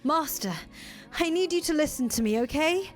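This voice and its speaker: A woman's voice: high-pitched, lively intonation, normal speed, faint music behind, voice pushed up. A woman voicing a flustered young woman confessing her love, stammering and earnest. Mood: pleading.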